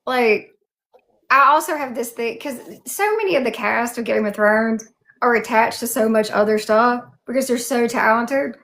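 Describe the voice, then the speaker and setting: A woman's voice: a little high, moderate pace, a bit bright. A woman with a Southern American accent, interviewing in a casual chat with an effusive, colloquial, emphatic manner. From southern United States.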